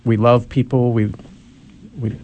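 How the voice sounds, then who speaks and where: A man's voice: mid-range voice, level tone, a little slow, darkish tone, muffled recording. A man speaking as a guest on a radio talk show, hesitant and full of ums. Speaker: community center founder, guest. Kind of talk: radio interview answers.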